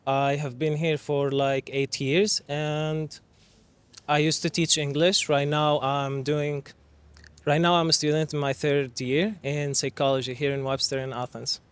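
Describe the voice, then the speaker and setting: A Syrian man who studies psychology, introducing himself in a measured, slightly halting way. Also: a little high, flat delivery, clean tone. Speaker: panelist, psychology student. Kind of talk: self-introduction.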